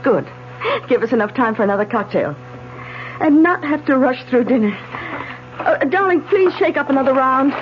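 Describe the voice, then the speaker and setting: An actress playing an excitable, emotional woman in an old radio drama, with a breathy, exclaiming manner. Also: high voice, normal speed, faint music behind. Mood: hostess. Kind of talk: 1970s radio drama acting. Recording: dull lo-fi old radio broadcast.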